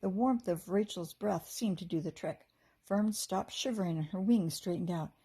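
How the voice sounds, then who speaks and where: An American woman narrates a children's storybook in a teacherly, expressive way. Her voice has a slightly breathy, husky edge at a middle pitch. She is animated and acts out the characters. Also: normal speed. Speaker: teacher reading a children's book aloud.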